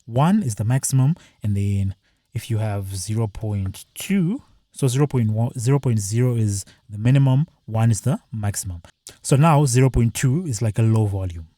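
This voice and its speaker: A man presenting a screen-recorded software tutorial, explaining each step plainly with the odd filler. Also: mid-range voice, lively intonation, normal speed, no echo, clean studio sound.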